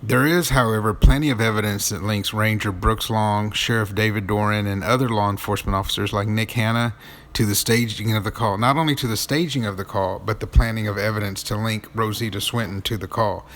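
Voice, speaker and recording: A man's voice: on the low side, fairly even intonation, a little fast, fairly bright tone. A man narrating accusatory commentary, emphatic, with repeated phrases and rhetorical questions.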